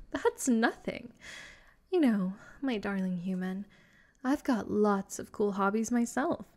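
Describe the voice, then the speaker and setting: A vampire girl, acted by a woman speaks slowly in a middling female pitch. She is smug and possessive, teasing and doting by turns, in the manner of a comedic roleplay audio drama. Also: animated, a little mellow. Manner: haughty, doting, teasing, drawn-out delivery.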